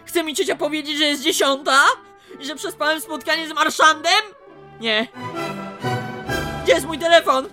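A man's voice: very high voice, moderate pace, pauses often, bright, forceful tone, faint music behind. A man playing an exasperated young painter in a radio comedy, complaining in an aggrieved, dramatic way. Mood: exasperated, whining. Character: exasperated, whiny young male painter smothered by his aunt.

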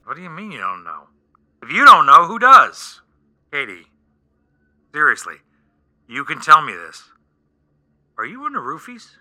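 Bright, animated, exasperated talent agent played by a man. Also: on the high side, moderate pace, long pauses, heavily acted. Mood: badgering. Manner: incredulous, sarcastic, rambling rants. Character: exasperated, prying male Hollywood agent and longtime friend. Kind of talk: naturalistic comedic radio play dialogue.

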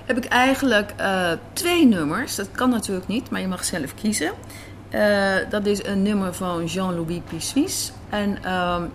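A woman giving conversational, reflective interview answers as a writer and director, in a café. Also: mid-range voice. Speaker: guest, radio drama writer and director. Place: indoors in a café. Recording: clear background noise of a café.

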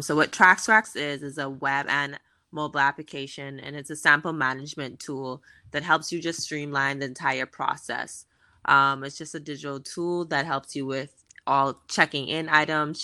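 A woman's voice: a bass voice, sharp tone, smooth, dry recording. A woman in her thirties from the Bahamas, chatting as an interview guest in an upbeat, chatty way with frequent fillers. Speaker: guest, fashion tech founder. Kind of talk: casual interview conversation.

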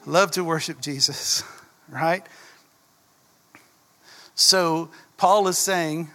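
A man from Texas, preaching a sermon in a folksy, animated way and dropping to a whisper for emphasis. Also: fairly high pitch, drawn-out words, in a large hall. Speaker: pastor. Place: church.

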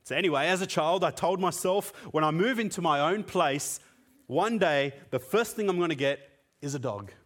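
A man's voice: a little high, expressive, moderate pace, a bit bright. A man whose first language is Tamil, preaching a sermon in an earnest, conversational way with frequent fillers.

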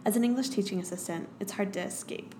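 A young woman with an American accent, hosting a podcast in measured, thoughtful scripted narration. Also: mid-range voice, noisy background. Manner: measured, thoughtful, reads in short phrases. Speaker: podcast host and English teaching assistant.

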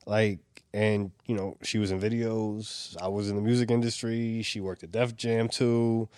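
A middle-aged man from San Francisco, talking as a guest in a relaxed interview, loose and profane with lots of "like" and "you know.". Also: on the low side, normal speed, clear, resonant tone, no echo, clean studio sound. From San Francisco, USA. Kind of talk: casual interview chat.

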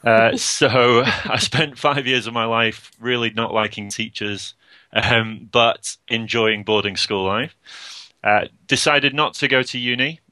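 A young man as a podcast guest, talking casually with many pauses, ums and restarts. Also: medium pitch, steady tone, pushed and bright, no room sound. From Scotland, raised in Portugal. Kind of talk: casual interview chat.

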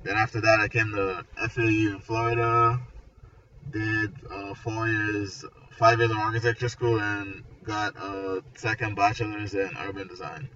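A man's voice: lowish voice, flat delivery, normal speed, bright, forceful tone. A man in his twenties chatting casually, often filling his sentences with "like" and "you know". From Nigeria. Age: 20s. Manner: conversational, peppered with "like" and "you know". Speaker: architecture graduate discussing his background and creative work. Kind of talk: casual conversation. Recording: distant mic, muffled, noisy ambience.

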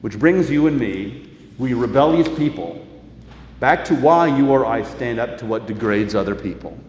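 A man giving a sermon as pastor, preaching in an earnest, measured way and reading scripture aloud. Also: mid-range voice, lively intonation, darkish tone, a little hiss or hum, poor audio.

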